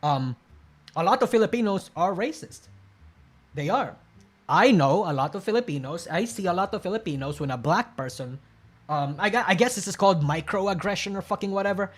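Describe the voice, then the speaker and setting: A man reacting to clips on a live stream, rambling and blunt, swearing often, restarting sentences, and breaking into sudden loud bursts. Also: high voice, lively intonation, fairly quick. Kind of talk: casual live-stream commentary.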